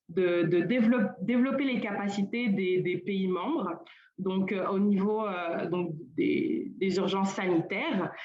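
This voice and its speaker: A woman's voice: mid-range voice, a little mellow. Young woman speaking hesitantly over a roomy call. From Senegal. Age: young adult. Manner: hesitant, with many fillers and restarts. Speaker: colloquium panelist. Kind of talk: informal conference talk. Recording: roomy, dull lo-fi call audio.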